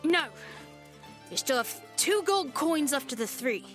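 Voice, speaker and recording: A woman's voice: high voice, animated, measured pace, a bit bright. A woman voicing a guarded, prickly young boy, curt and suspicious, in an audio adventure drama. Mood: teasing. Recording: faint music behind.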